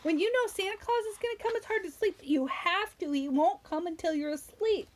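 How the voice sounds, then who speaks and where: A woman reading a story aloud to young children, talking to them directly with questions and simple explanations in an animated, drawn-out way. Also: very high voice, slight background noise. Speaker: children's story reader and host.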